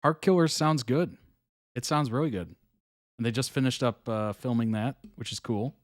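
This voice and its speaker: A man hosting a reaction video, giving relaxed, chuckling commentary in short approving remarks. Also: mid-range voice, normal speed, no room sound, studio quality.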